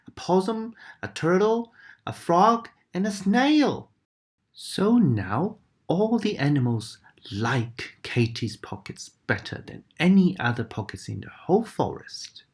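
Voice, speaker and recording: A woman reading a bedtime story aloud to children, gentle and animated. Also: fairly low pitch. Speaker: storybook reader.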